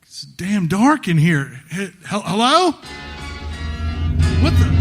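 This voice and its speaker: A man's voice: very high voice, full character voice. An actor playing a rattled, easily indignant folksy delivery man in a live audio drama, stammering and drawling out slang. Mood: frightened, confused. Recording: live stage recording with music behind.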